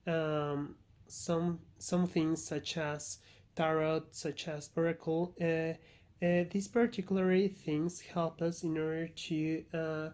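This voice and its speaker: A man with a Spanish accent speaks as an oracle card reader addressing viewers. His delivery is flat in pitch and halting. He often searches for words, as Spanish is his first language. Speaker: tarot and oracle reader, channel host. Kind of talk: informal spoken introduction to an oracle card reading.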